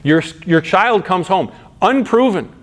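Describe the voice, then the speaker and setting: A middle-aged man preaching in a relaxed, conversational manner. He poses rhetorical questions to his listeners and often restarts his sentences, moving between soft, low asides and brighter, raised points. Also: unhurried. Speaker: pastor. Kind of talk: sermon.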